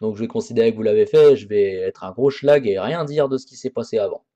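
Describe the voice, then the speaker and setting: A man's voice: on the low side, fast talker, warm tone, dull audio. A man hosting a let's play, chatting casually over a horror visual novel with crude jokes and frequent restarts. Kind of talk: casual game commentary.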